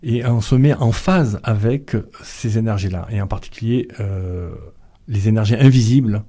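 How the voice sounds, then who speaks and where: A middle-aged man speaks as a radio interview guest, his voice low and his tone mellow. He talks slowly and hesitantly, with frequent fillers and restarts. He sounds calm and unhurried throughout. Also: breathy edge. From Vietnamese family background. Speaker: author and guest.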